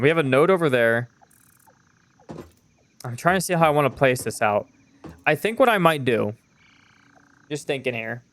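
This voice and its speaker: A young man talks his way through a game stream, frank and rambling, with easy swearing and plenty of fillers. His voice is smooth and clean, sitting at an ordinary middle pitch. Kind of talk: casual live-stream chat while gaming.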